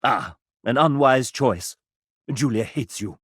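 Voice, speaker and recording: A man voicing a sassy, arch gentleman who narrates a dating video game in a theatrical, mock-genteel and sardonic manner. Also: medium pitch, animated, takes it slowly, husky, no echo, clean studio sound, full character voice. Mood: mock-regretful, cutting.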